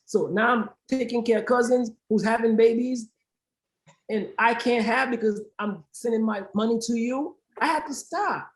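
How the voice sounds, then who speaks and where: A woman's voice: fairly high pitch, normal speed. Haitian-born woman on a panel, really passionate, hammers points by repeating them. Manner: emphatic, repeats phrases for stress. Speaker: aid organization founder, panel guest. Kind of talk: impassioned panel discussion.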